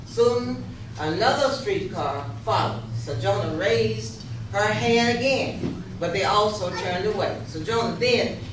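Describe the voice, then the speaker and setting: Woman, readers theater narration, halting delivery, strong echo, clear background noise. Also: medium pitch. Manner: halting, stumbling over words. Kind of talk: dramatic reading of historical narration. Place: echoey room, live rehearsal. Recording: echoey, distant, noisy rehearsal room.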